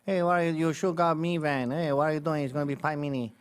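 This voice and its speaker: A man doing a relaxed Puerto Rican guy. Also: fairly high pitch, quick pace, smooth, natural voice. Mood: easygoing. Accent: Puerto Rican English. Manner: slurred, drops consonants.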